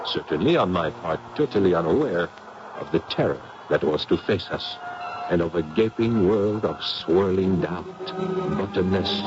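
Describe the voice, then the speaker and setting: Deep-voiced, sceptical French professor. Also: male voice. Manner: ornate, formal, theatrical. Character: sceptical, courteous French professor recalling a ghostly adventure. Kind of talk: old-time radio drama acting and narration. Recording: muffled, faintly noisy 1970s radio recording.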